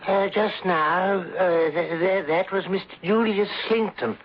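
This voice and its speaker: An actor playing a deferential, hesitant office clerk in a radio drama, stammering and eager to please. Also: male voice, high voice, unhurried. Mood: flustered, deferential. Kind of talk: 1970s radio drama acting. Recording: dull old radio recording.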